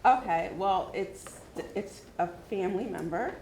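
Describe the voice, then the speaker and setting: A woman in the audience describing a personal drawing in a hesitant, halting way. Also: medium pitch, a little mellow, a little hiss or hum. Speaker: audience member. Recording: roomy hall audience mic.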